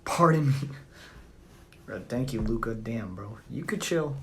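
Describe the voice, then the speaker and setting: A man's voice: mid-range voice, lively intonation, measured pace, vocal fry. A young man with a Southern accent on a phone livestream at home, talking bluntly and profanely in a rambling way while answering chat. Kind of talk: casual live-stream chat reading comments.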